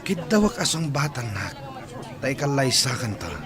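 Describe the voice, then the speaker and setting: Guy acting a proud bitter young schemer, super animated pitch, radio drama, crowd noise behind. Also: normal speed, close to an everyday voice. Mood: insistent. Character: proud, spurned young man scheming revenge.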